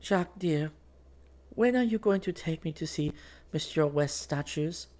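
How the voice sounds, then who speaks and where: Male narrator as a young woman, raised pitch, anxious, affectionate, pleading, breathy, storybook dialogue. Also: normal speed. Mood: bright, teasing. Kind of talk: audiobook character dialogue.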